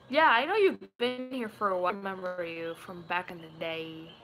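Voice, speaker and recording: A woman's voice: medium pitch, moderate pace, a bit bright. A twenty-year-old woman from Puerto Rico chatting casually and playfully in a rambling way, like a vlogger talking to viewers. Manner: playful, rambling, slips into put-on accents and voices. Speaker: video creator chatting with viewers. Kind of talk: casual live chat. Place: small room at home, indoors. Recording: noisy home webcam audio.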